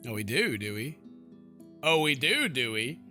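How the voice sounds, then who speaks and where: A man's voice: medium pitch, very animated, a little slow, music in the background. A man doing casual, jokey, slangy gameplay commentary, mimicking the characters as he plays. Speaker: video game streamer playing a visual novel.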